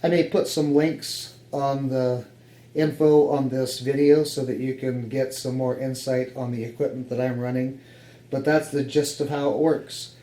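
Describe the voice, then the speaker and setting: A man's voice: medium pitch, fairly even intonation, unhurried, mellow. A man with an American accent giving a casual, explanatory walkthrough of equipment in his home office. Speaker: hobbyist radio operator presenting his setup. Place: home office radio room, indoors. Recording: roomy echo with faint background noise.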